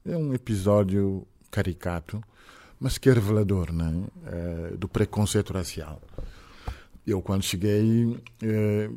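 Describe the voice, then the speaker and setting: A middle-aged man from Senegal with a French-tinged Senegalese accent, answering questions in an interview in a measured, reflective way with frequent hesitations. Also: lowish voice, warm tone. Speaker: guest. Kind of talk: interview conversation.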